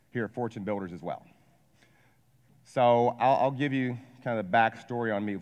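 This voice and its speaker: A man in his forties from Ohio, giving an energetic motivational seminar talk and asking a live audience questions. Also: mid-range voice. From Ohio, USA. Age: 40s. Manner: energetic, asks the crowd questions, jokes. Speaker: wealth advisor and coach giving a seminar talk. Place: large hall with a live audience. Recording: long hall reverb.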